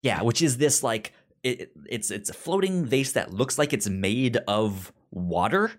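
A man's voice: medium pitch, expressive, a little slow. A man co-hosting a podcast in casual chat, often stammering and repeating sounds as he explains. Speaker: podcast co-host. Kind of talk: casual chat reviewing a comic book.

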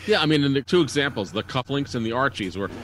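A man's voice: mid-range voice, lively intonation, fast, slight background noise. A man moderating a casual group chat, sharing information in conversation.

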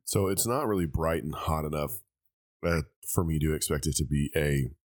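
A congested man hosting a casual blind liquor tasting, thinking aloud with many pauses. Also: rumbling low, no room sound, studio quality.

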